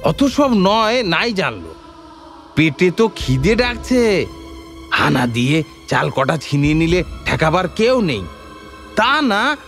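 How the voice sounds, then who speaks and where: A man's voice: fairly high pitch, a bit bright, exaggerated voice. An actor playing a rustic male bandit, telling bitter tales in an emphatic, theatrical way, full of rhetorical questions, for an audio drama. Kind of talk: dramatised audio story dialogue.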